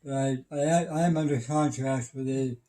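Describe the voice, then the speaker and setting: A man in his seventies talks with a mellow, relaxed voice at a middling pitch. He explains things casually and plainly, often stammering and halting as he searches for the next phrase. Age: 70s. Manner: halting, stammering, matter-of-fact. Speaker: retired systems engineer and radio hobbyist.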